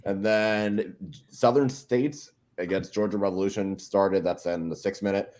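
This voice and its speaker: A man's voice: deep voice, steady tone, a little slow, a little mellow. A man in his thirties co-hosting a podcast, steadily reading out soccer scores. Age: 30s.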